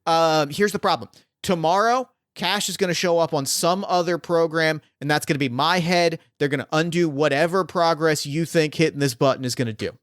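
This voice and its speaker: A man playing a smooth-talking arms dealer. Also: a little high, fairly quick, fairly bright tone, no room sound, clean studio sound, natural voice. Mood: salesy. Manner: smooth salesman patter, placating. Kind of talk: improvised tabletop roleplay.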